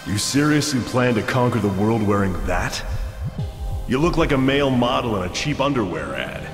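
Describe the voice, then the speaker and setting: A cool, flippant action-game executioner voiced by a man, with a dry menace and a lively swing in pitch. His lines ring in a long reverb over prominent music. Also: normal speed, natural voice. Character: cocky, wisecracking male executioner, cool action hero. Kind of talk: video game hero voice acting. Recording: echoey reverb, music behind.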